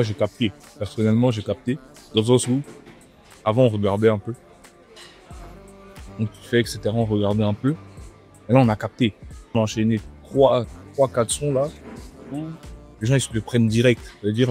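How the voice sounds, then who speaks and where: A man's voice: lowish voice, mellow. A young man from France, a rapper guest, chatting casually and slangily over a meal in a restaurant. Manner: laid-back, slangy, repeats himself. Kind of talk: casual interview chat. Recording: music playing in the background.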